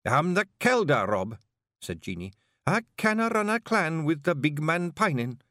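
A man voicing a small, stern female pixie clan leader with a sharp, commanding Scottish voice. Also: fairly high pitch, big pitch swings, unhurried, no echo, clean studio sound, voice pushed up, heavily acted. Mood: firm. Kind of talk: audiobook character voice.

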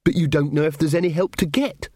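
A man voicing an exasperated young woman, a bossy, sharp-tongued bride in a storybook, with a snappish, sarcastic, commanding manner. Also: fairly high pitch, fairly even intonation, fast talker, no room sound, studio quality, voice pushed up, lightly acted.

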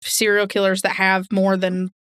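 A woman's voice: medium pitch, monotone, moderate pace, fairly bright tone, smooth, no room sound. A woman co-hosting a podcast in an informal, candid, chatty way. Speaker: podcast co-host. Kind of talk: casual true crime podcast chat.

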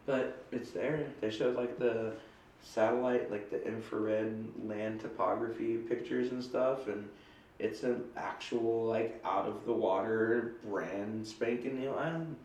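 A woman's voice: rumbling low, steady tone, measured pace, darkish tone, reverberant, low-quality recording. A woman co-hosting a casual chat show, talking in a chatty, rambling and playful way, with a stuffy nose from a cold. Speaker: podcast co-host.

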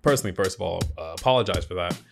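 A man's voice: low-pitched, rapid-fire, creaky, over music. A man hosting a podcast, chatting casually with a guest and laughing often. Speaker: podcast host.

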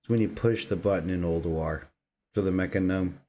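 A man with a fairly low voice, talking as a co-host on a gaming podcast. He is informal and slangy, making his points with emphasis in loose, buddy-style banter. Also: a little fast, warm tone. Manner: emphatic, informal, slangy, says "dude" often. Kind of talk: casual banter about video games.

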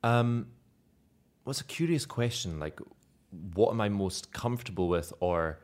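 A man's voice: on the low side, animated, a little slow, darkish tone. A young Scottish man, an actor being interviewed, chatting casually and self-deprecatingly, laughing often. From Scotland.

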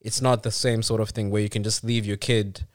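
A man's voice: on the low side, level tone, brisk, dry recording, studio quality. A man from Africa co-hosting a casual podcast, explaining at length with restarts and frequent "you know"s. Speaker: podcast co-host.

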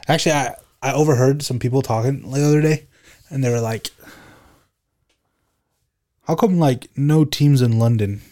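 A man's voice: medium pitch, moderate pace, long pauses, mellow. A young man co-hosting a podcast, chatting casually in a slangy, laid-back way with plenty of swearing. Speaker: podcast co-host. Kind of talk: casual chat.

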